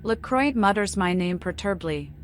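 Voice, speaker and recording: A woman's voice: medium pitch, expressive, moderate pace, slight background noise, close to an everyday voice. A woman playing a mad female vampire in a video game, speaking in whimsical, oddly poetic riddles. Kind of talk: video game character acting.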